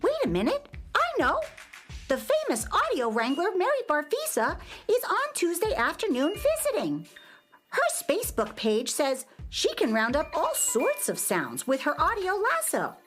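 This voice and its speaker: Woman plays an eager ship's first mate, children's radio play: very high, wildly swooping, earnest. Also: unhurried, faint music behind, exaggerated voice. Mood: pondering. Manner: theatrical, earnest, expressive. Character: eager first mate of a flying dream ship.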